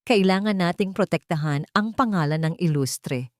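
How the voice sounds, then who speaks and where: Casting: woman narrator as grave family-lawyer uncle, low and admonishing, audio romance drama, dry sound. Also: moderate pace, dry recording, close to an everyday voice. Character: stern, serious uncle, a male attorney guarding family name.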